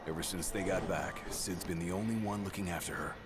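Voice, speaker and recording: An actor playing a burly, gruff, confident male fighter in a video game. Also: sits low, brisk, noisy background, natural voice. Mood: easygoing. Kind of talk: scripted game dialogue. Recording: game audio under loud music.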